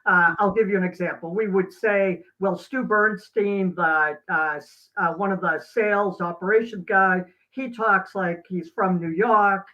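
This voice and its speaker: A middle-aged man talks earnestly as an interview guest. He is very slow and deliberate, breaking his thoughts with pauses and stressing key words. The sound is a little dull and lo-fi, like a call. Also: high-pitched, fairly even intonation. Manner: earnest, halting, emphatic pauses. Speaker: guest, doctor and teacher. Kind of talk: reflective interview talk.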